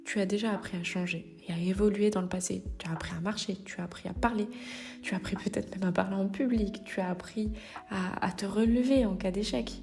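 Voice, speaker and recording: Female podcast host, mid-pitch, coaching tone, talks straight to listener, music bed underneath. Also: fairly even intonation, brisk, gravelly. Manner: direct, addresses listener informally, occasional hesitations. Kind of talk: motivational solo podcast talk.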